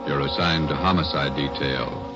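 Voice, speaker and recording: Male American announcer, very deep and solemn, muffled vintage radio over loud music. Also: steady tone. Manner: grave, measured. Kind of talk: solemn 1940s radio scripted narration.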